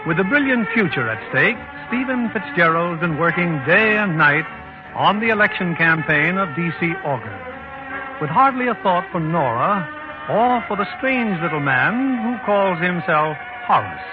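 A man hosts an old 1940s radio program with formal, polished narration. His voice is a little high, and the dull broadcast carries some music. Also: sing-song, unhurried. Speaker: host and producer.

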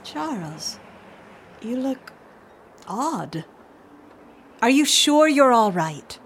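A woman's voice: fairly high pitch, big pitch swings, very slow, slight background noise, heavily acted. An actress playing an anxious middle-aged mother in a radio drama, with a fretful, dramatic delivery. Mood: uneasy.

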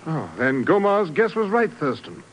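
An actor playing a genteel, puzzled titled gentleman in 1950s radio drama, courteous and somewhat blustering. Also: a little high, huge pitch swings, normal speed. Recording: hissy old radio broadcast.

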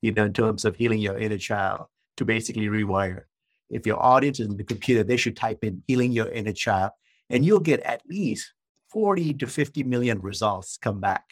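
A man's voice: fairly low pitch, fairly quick, dry recording. A man in his fifties, from Malaysia, explaining earnestly as a guest in a podcast interview. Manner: earnest, explanatory, uses rhetorical questions. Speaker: guest author and coach.

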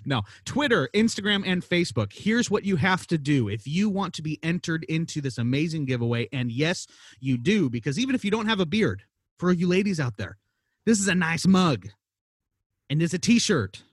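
A man's voice: on the high side, normal speed, breathy edge, no echo, studio quality. A man co-hosting a casual podcast, joking and teasing his co-host, often repeating phrases. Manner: jokey, animated, repeats phrases.

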